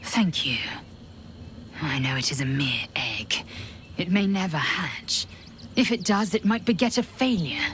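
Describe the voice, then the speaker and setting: An actress playing a stern, proud alien warrior woman in a video game, clipped and commanding, with a breathy, hissed edge and music behind her. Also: fairly low pitch, animated, unhurried, pauses often, fairly bright tone. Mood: quiet resolve. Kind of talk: video game character acting.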